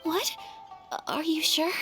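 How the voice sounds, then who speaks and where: A refined young noblewoman from a video game. She is high-pitched, gentle and courteous, a little shy, and speaks formally over background music. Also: fairly even intonation, slow pace, exaggerated voice. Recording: music behind the voice.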